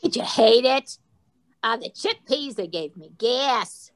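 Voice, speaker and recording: A woman's voice: on the high side, lively intonation, slow. A woman playing a grouchy, blunt elderly great-aunt in a comic staged reading, complaining and dryly needling. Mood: grumbling.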